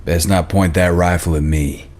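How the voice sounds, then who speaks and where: A low, deep male voice from an actor as a western drifter gunslinger. He speaks in clipped, guarded lines with a cool threat underneath, as in a screenplay table read. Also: steady tone, moderate pace, a little mellow. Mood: cold warning. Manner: laconic, guarded, coolly threatening.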